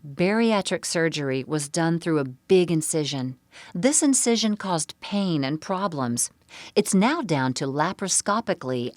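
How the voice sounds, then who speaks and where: Female narrator for a medical seminar. Notably low register, dry recording, measured and explanatory. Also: no echo. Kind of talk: scripted narration of medical presentation.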